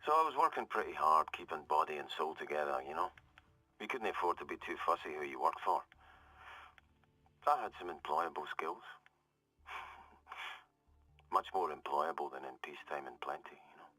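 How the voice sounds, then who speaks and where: An actor playing a hard-bitten Scottish ex-military survivor in a game audio log, talking in a wry, conversational, confessional way. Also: male voice, rumbling low, lively intonation, moderate pace, long pauses, bright, forceful tone, dry recording, heavily acted, low-quality recording. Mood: dry, knowing. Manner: conversational, hesitant, darkly wry, trailing off. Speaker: security corporal.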